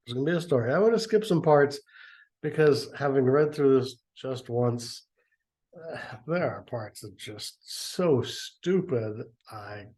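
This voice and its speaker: Man, slow and sarcastic, rambling commentary over a book reading; mellow tone. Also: medium pitch. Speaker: reader and commentator.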